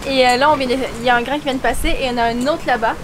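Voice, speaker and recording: Quick-talking young woman on a sailboat. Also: high-pitched, steady tone, background noise. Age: young adult. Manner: chatty, explains plans and weather aloud. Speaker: sailing vlogger. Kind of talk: casual vlog narration. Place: outdoors on a sailboat deck.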